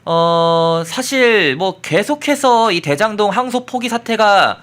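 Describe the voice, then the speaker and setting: A man's voice: high voice, animated, takes it slowly, fairly bright tone. A man hosting a political talk show in a conversational way, introducing points and putting questions to a guest. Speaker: host.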